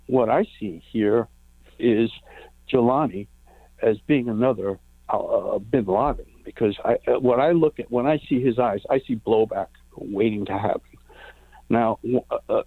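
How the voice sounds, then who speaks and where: Halting male caller on a phone line. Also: on the low side, no echo. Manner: hesitant, many restarts and fillers. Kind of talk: call-in question on talk radio.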